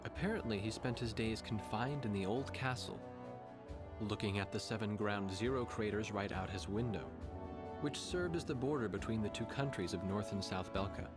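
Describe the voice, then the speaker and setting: Actor as low-voiced somber war chronicler, a man. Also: moderate pace, over loud music, lightly acted. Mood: somber, reflective. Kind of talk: scripted narration.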